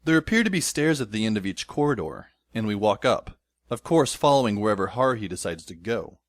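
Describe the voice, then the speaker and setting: A man's voice: fairly low pitch, sing-song, a little fast, dry recording. A man playing a wry, sardonic teenage schoolboy who narrates his adventure as dry, descriptive audio drama storytelling. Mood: wry narrating. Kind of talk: scripted first-person narration in audio drama.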